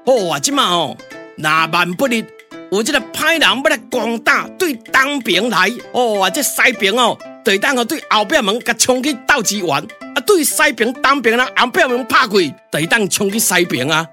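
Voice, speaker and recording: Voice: mid-range voice, very animated, normal speed, sharp tone, faint music behind. A performer with a Taiwanese accent voicing an emphatic, know-it-all pioneer soldier in a glove-puppet radio drama. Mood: lecturing.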